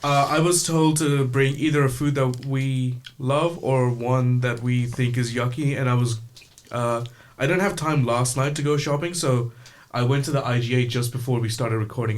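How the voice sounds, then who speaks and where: A man's voice: mid-range voice, steady tone, moderate pace. Laid-back young man rambling. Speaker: student radio co-host. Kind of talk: casual group chat.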